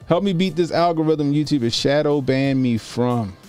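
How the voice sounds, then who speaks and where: A man's voice: on the high side, slow pace, darkish tone, clean tone, faint music behind. A man in his forties doing casual livestream commentary as a YouTube host, talking informally and repeating phrases for emphasis. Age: 40s.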